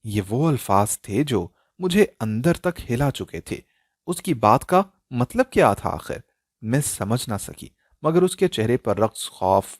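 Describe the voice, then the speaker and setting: A man narrating a scripted emotional story in a dramatic, expressive style. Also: mid-range voice, dry recording, clean studio sound.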